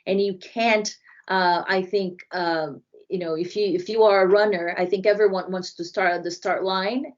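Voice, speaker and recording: A woman's voice: medium pitch, fairly even intonation, moderate pace, muffled recording. Brazilian-accented woman explaining earnestly. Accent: Brazilian Portuguese. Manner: earnest, explanatory, frequent restarts. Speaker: inclusion consultant, guest. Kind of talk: podcast interview.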